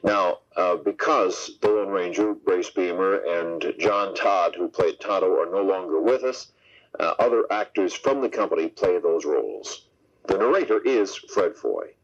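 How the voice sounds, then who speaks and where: A man's voice: low-pitched, expressive, unhurried. An American man hosting a radio program, presenting and interviewing. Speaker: radio show host and interviewer. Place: radio broadcast. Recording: slightly muffled FM radio tape.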